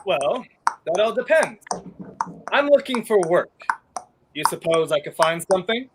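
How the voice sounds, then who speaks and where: A man's voice: high-pitched, sing-song, measured pace. A noisy recording of a polite, guarded young fugitive in a vintage radio play, acted by a man. His intonation moves widely as he speaks. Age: young adult. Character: polite, guarded male fugitive passing as a jobseeker. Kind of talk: 1930s-style radio drama acting. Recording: noisy home recording with clicks.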